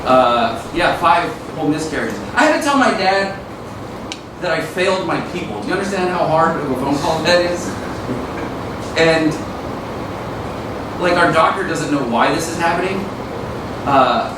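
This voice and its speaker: A man's voice: on the high side, expressive, normal speed, long pauses. A young adult man, a native Spanish speaker from Mexico, doing a self-deprecating stand-up set with a dry delivery and nervous chuckles. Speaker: stand-up comedian. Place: comedy club stage. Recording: echoey club, mic far off, loud room noise. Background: audience laughter.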